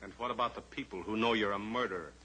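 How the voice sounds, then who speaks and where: An actor playing a steadfast, brave cowboy pilot in an old-time radio drama, firm and terse. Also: male voice, lowish voice, quick pace, bright, forceful tone, breathy edge, rough recording. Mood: controlled, steady. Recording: old radio recording with faint hiss.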